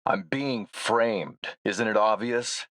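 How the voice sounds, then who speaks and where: An actor playing a defiant, menacing warlord in a video game, making a deliberate and forceful speech. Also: male voice, fairly low pitch, no room sound. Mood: indignant, measured. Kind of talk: scripted game voice acting, speech.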